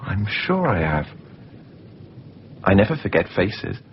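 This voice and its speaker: A man's voice: low voice, sing-song, slow pace, full character voice. An actor playing an insinuating man who casually needles and probes, in naturalistic radio drama style. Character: Jon, insinuating, film-obsessed man steering every conversation. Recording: muffled, noisy off-air radio recording.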